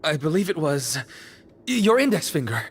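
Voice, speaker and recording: A woman playing a nervous, uncertain prison inmate in a video game, speaking hesitantly and haltingly. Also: deep voice, big pitch swings, slight background noise. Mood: anxious, confused. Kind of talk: video game character acting. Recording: music behind the voice.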